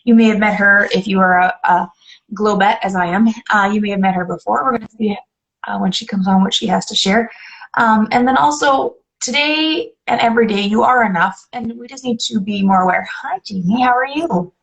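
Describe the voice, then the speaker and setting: A woman's voice: medium pitch, a little fast, gravelly, muffled recording. A woman from Canada hosting a live online interview, chatty and upbeat, often repeating herself. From Canada (Alberta). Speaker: host. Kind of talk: casual live video interview.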